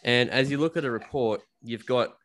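A man's voice: mid-range voice, normal speed, fairly bright tone. An Australian man chatting casually as an interview guest, explaining things in a blunt, jokey, colloquial way. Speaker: podcast guest, coal miner. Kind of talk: casual chat, explaining his work.

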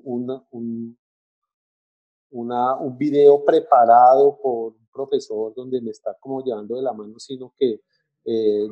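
A man, co-hosting a casual talk about language teaching, halting with restarts and fillers but getting lively when he argues. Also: mid-range voice, muffled recording. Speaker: co-host discussing language teaching. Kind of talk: casual podcast chat.

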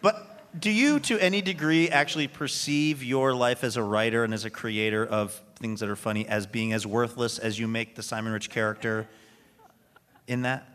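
A man's voice: mid-range voice, fairly even intonation, moderate pace, a bit bright. A man hosting a casual, joking live interview in a wry, conversational way. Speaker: radio show host, interviewer.

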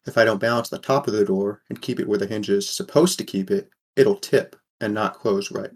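A man's voice: lowish voice, brisk, breathy edge. A man playing a weary, wry sixteen-year-old boy from a poor mining town, narrating a fan audio drama in the first person.

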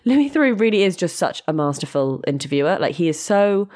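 A woman's voice: lowish voice, very animated, a little fast, a little mellow. A British woman co-hosting a podcast, chatting casually in an effusive, gushing way. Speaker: podcast co-host.

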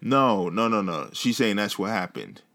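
Guy with a really low voice, chill, reads stuff out loud, dry jokes. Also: very animated, normal speed. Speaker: podcast co-host. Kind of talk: casual gossip chat.

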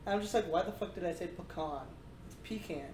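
Casual podcast co-host, woman, lower voice, rambling and filler-heavy, echoey room with faint hiss. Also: fast, a little mellow. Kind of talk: casual chat.

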